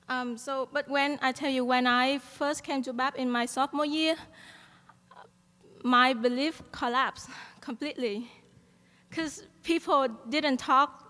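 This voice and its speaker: A woman's voice: high voice, pushed and bright. Vietnamese-accented young woman in a reverberant hall. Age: young adult. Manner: earnest, with frequent "um" fillers and light laughs. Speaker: student speaker at a graduation. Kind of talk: commencement speech. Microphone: podium microphone.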